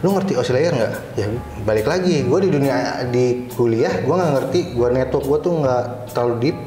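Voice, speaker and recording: A man's voice: mid-range voice, animated, moderate pace, mellow. A man with a Jakarta Indonesian accent telling his own story casually as an interview guest, in informal, slangy speech. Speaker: interview guest, cyber security supervisor. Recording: roomy echo, faint background music.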